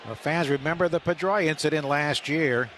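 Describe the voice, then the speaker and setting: A man's voice: a little high, moderate pace, a bit bright. A man adding unscripted commentary to a live radio baseball broadcast. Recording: noisy ballpark radio broadcast.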